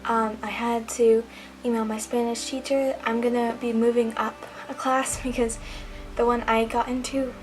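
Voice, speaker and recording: A woman's voice: a little high, little pitch movement, normal speed, faint music behind. A teenage girl vlogging casually from home, chatty, with lots of ums and likes. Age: teens. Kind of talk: casual vlog diary.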